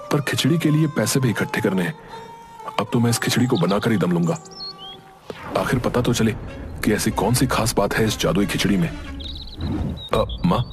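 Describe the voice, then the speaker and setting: A man's voice: on the low side, lightly acted. A man playing an earnest, determined young village son in a Hindi cartoon story, speaking respectfully. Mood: sincere. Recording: music behind the voice.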